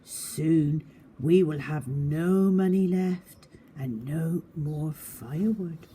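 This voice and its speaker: A woman voicing a poor village cobbler in a children's story, with earnest, expressive delivery. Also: deep voice, slow pace, a little hiss or hum, pitched below the performer's own voice. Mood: worried.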